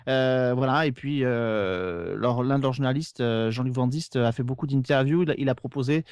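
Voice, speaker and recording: A man's voice: mid-range voice, normal speed, a little mellow, smooth, dry recording, clean studio sound. A man talking casually as a podcast guest, eagerly explaining and recommending shows.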